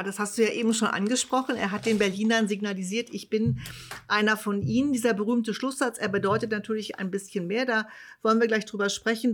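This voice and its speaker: A woman with an average-pitched voice hosts an interview. She speaks conversationally and on informal terms with the guest, guiding the discussion in an orderly way from one question to the next. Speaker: interviewer, host.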